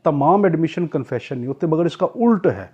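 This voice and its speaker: Husky, higher-pitched man giving a lecture. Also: expressive, normal speed, a little mellow. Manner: didactic, repeats key points, asks and answers own questions. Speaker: law tutor. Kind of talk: explanatory exam-prep lecture with everyday examples. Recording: dull, lo-fi recording.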